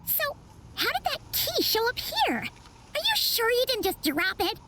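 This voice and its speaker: A woman voicing a small, excitable, childlike fairy companion in a video game, squeaky and full of exclamations. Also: measured pace, slight background noise, full character voice. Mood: puzzled. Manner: talks of self in third person, exclaims. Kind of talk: animated game voice acting.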